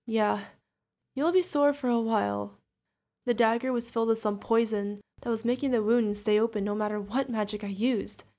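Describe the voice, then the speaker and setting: Woman acting as a protective witch mentor, high-voiced. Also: fairly even intonation, moderate pace, mellow, close to an everyday voice. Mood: relieved, teasing. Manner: emotional, commanding, affectionate, pleading. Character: protective witch mentor, fierce yet tender adoptive parent. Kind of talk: ASMR fantasy roleplay acting.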